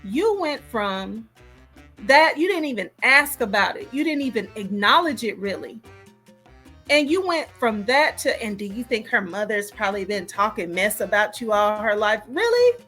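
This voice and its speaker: A woman hosts a casual reaction commentary over a music bed. Her delivery is emphatic and disapproving, with points repeated for weight. Her voice sits a little high. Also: big pitch swings, normal speed, a bit bright, breathy edge. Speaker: host reacting to a recorded call.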